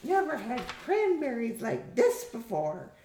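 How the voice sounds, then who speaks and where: A woman's voice: fairly high pitch, wildly swooping pitch, a little slow, warm tone, a little hiss or hum, pitched above the performer's own voice, exaggerated voice. Woman doing a scornful stout woman, echoey. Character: stout scornful woman, blunt dinner guest. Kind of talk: audiobook character voice. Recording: roomy echo.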